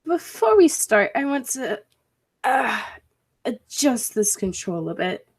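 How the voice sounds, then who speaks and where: A bold professor of invention acted by a woman, absorbed in daring experiments. The voice is fairly high and very unhurried, commanding and theatrical in the manner of 1940s radio drama. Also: big pitch swings, slightly rough, exaggerated voice. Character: Dudley, bold, absorbed scientist and professor inventor of a time machine. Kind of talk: 1940s-style radio play acting.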